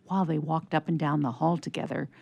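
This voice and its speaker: Low, creaky-voiced woman narrator. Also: flat delivery, fast, a little mellow, lo-fi sound. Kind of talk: scripted storybook narration for radio theatre.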